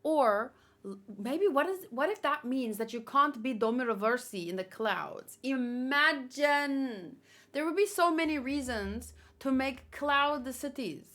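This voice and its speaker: A woman's voice: high voice, animated, measured pace, a bit bright. A woman giving informal, opinionated commentary as she hosts a casual live read-along and talks with chat. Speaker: manga read-along host.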